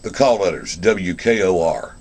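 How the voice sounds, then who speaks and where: Low-voiced male radio host. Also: big pitch swings, moderate pace, darkish tone, breathy edge. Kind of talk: casual live radio interview.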